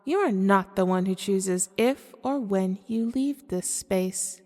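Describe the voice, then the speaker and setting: A woman's voice: mid-range voice, dark tone, close to an everyday voice. Woman voicing a seductive demon in cavernous reverb. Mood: amused, taunting. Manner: formal, deliberate, coaxing. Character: seductive, manipulative female demon hungry for hearts. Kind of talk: scripted ASMR audio roleplay monologue.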